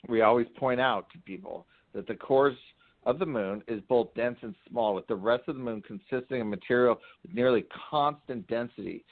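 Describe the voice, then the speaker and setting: Man posing as a rambling deadpan expert, tinny phone. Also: mid-range voice, vocal fry. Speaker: prank caller. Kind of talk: phone call to a radio show. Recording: narrow tinny phone line.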